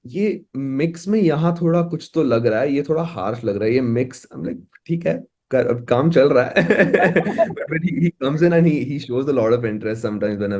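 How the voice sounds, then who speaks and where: A man's voice: mid-range voice, darkish tone. A man with an Indian English accent, talking casually as an interviewed musician, often repeating words as he thinks.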